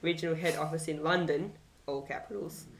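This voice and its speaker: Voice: medium pitch, fairly quick, creaky, crowd noise, low-quality recording. An Australian podcast co-host with a casual style, reading aloud and adding dry joking asides.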